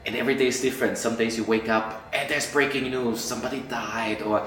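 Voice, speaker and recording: A middle-aged man from Austria, an entertainment journalist answering interview questions, animated and acting out quoted colleagues, with frequent restarts. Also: medium pitch, brisk, a little hiss or hum. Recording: echoey room, voice a bit far from mic.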